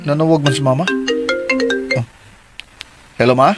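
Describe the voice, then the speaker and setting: A man's voice: medium pitch, steady tone, normal speed, over loud music, lightly acted. A man playing an exasperated, defensive working boyfriend who scolds his partner in a radio drama. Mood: weary, evasive. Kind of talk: Philippine radio drama acting.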